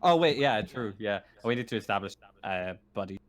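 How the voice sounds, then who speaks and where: Halting male tabletop game master. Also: on the low side, lively intonation. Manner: halting, repeats and corrects phrases. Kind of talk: improvised game narration and table chat.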